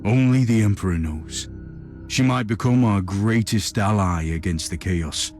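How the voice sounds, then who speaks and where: Game hero: devout warrior inquisitor, male actor, deep, solemn, resolute, light music underneath. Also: unhurried, a little mellow, close to an everyday voice. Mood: solemn, reflective. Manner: solemn, stern, formal. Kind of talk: dramatic video game cutscene acting. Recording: music behind the voice.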